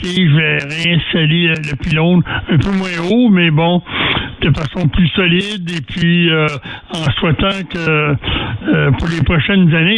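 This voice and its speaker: A man with a Quebec French accent chatting casually as an amateur radio operator, telling stories in a halting way, searching for his words. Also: a little high, a bit bright, low-quality recording. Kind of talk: casual radio chat, storytelling.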